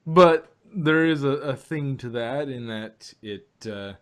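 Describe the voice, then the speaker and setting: Man, podcast co-host, mid pitch, rambling casual chat, restarts and laughs. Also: takes it slowly, clear, resonant tone.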